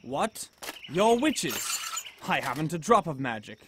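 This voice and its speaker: Man playing a meek, forlorn knight, highish voice. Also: very animated, measured pace, background noise. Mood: reluctant. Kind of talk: amateur audio drama acting.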